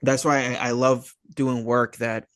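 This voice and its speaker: An American man in his thirties from Long Island, chatting casually as an interview guest in a halting, searching, slangy way. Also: mid-range voice, level tone, a bit bright, no echo, studio quality. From Long Island, New York, USA. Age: 30s. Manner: halting and searching, laid-back slangy, often saying "man" and "you know". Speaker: guest, actor.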